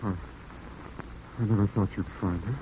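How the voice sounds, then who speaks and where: An actor playing a nervous, guilty young husband, stammering and evasive, in 1940s radio drama style. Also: male voice, lowish voice, steady tone, dark tone. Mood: rattled. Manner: stammering, halting. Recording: muffled, hissy old radio recording.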